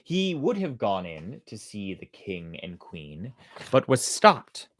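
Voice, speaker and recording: A storyteller giving expressive, theatrical and deliberate narration of a folktale. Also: deep voice, no echo. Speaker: storyteller, host.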